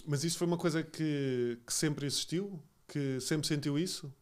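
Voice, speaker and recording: A man's voice: fairly high pitch, normal speed. A man in his forties with a Lisbon-area Portuguese accent, hosting a casual, jokey interview with informal interjections. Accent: European Portuguese, Lisbon area. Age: 40s. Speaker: podcast host, interviewer.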